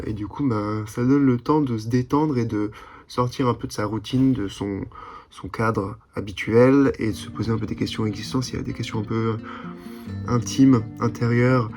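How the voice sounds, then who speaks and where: Introspective young man over soft music. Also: medium pitch. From Switzerland or France. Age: late 20s. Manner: hesitant, searching, introspective. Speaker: vlogger. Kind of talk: candid personal vlog monologue. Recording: background music.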